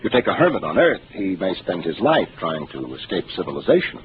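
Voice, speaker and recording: Seasoned starship captain, 1950s radio play, low and measured, hissy old broadcast. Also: male voice, sing-song, narrow, tinny audio. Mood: explaining. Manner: measured, authoritative, explaining patiently. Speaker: ship captain. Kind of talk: 1950s radio drama acting.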